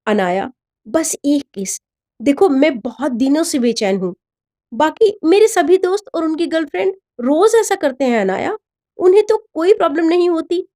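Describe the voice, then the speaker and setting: Pushy, high-voiced teenage boyfriend acted by a woman. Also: expressive, normal speed, dark tone. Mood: pleading, pushy. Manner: pleading, insistent.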